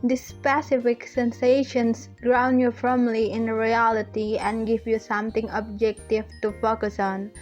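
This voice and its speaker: A young woman with an Indonesian accent giving a measured, rehearsed student presentation on a lo-fi recording. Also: a little high, level tone, faint music behind. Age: young adult. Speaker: student panelist. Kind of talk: prepared presentation. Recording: dull lo-fi audio.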